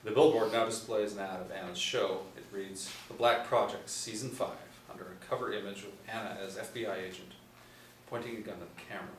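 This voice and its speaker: Low-pitched man narrating in an echoey room. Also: fairly even intonation, a little fast. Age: 40s. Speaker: narrator of stage directions. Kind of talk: scripted narration. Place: small room indoors. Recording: roomy, distant mic, faint noise.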